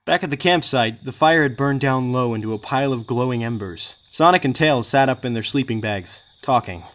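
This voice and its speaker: A man's voice: mid-range voice, lively intonation, a little fast. A man narrating an adventure audiobook with dramatic scripted delivery. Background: clear background music.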